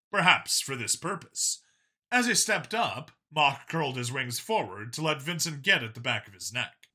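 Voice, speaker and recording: Very bright, lively male story narrator. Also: fairly high pitch. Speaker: audiobook narrator. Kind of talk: scripted fiction narration.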